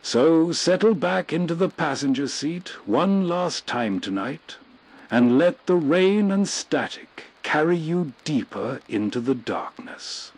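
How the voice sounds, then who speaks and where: A man giving atmospheric scripted narration as a host, in a hushed, measured manner with a husky, breathy voice. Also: on the high side, animated, rough recording. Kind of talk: slow atmospheric scripted bedtime-story narration.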